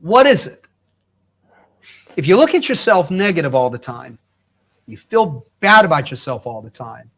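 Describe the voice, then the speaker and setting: High, lively-voiced man hosting a talk show. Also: moderate pace, pauses often. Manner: encouraging, restates the guest's points in his own words. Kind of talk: faith-based talk show conversation.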